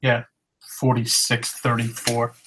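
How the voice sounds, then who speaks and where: Unhurried thirties man, monotone, plain webcam sound, reselling talk. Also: mid-range voice, heavy background noise. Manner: relaxed, matter-of-fact item rundown. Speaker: reseller showing thrift finds. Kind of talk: casual haul vlog. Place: living room at home. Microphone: webcam at desk.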